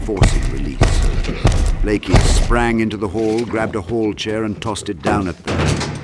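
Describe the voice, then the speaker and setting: A man's voice: low voice, heavy background noise. A man reading a horror audiobook as a narrator, in a theatrical, intense storytelling manner. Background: sound effects with clicks.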